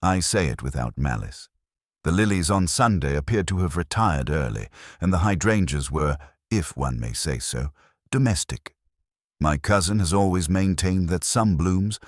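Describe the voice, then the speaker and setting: A man voicing a prim, disapproving older gentlewoman in a formal, roundabout manner, as part of an audiobook story. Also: very deep voice, animated, normal speed, dry recording, clean studio sound. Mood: genteel disapproval. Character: genteel, disapproving widow's cousin, a prim older woman.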